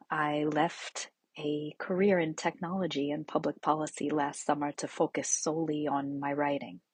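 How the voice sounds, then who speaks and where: A woman's voice: low-pitched, husky, no echo. A woman poet giving a prepared spoken introduction to herself and her writing.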